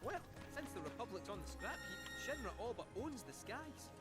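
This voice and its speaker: A cheeky male talking cat from a video game,. He chirps and teases in a middle-pitched voice that bobs up and down lively, with game music playing behind. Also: fairly quick. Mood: chatty. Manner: teasing and chirpy.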